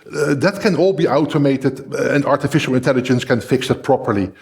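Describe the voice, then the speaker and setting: A man's voice: mid-range voice, brisk, darkish tone. A man with a Dutch accent, a non-native English speaker, explaining cybersecurity matters in casual conversation with frequent fillers. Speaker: cybersecurity researcher guest. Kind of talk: conversational discussion.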